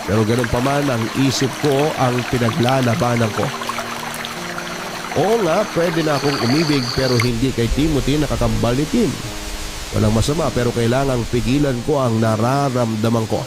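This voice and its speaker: A man's voice: mid-range voice, moderate pace, low-quality recording. A man with a Filipino accent narrating a sentimental story as a radio host reading a letter. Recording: music behind the voice.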